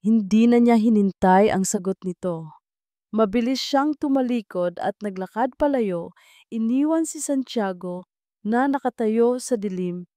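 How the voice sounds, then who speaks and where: A woman's voice: medium pitch, darkish tone, no room sound, studio quality. A woman reading a scripted dramatic love story as a storyteller.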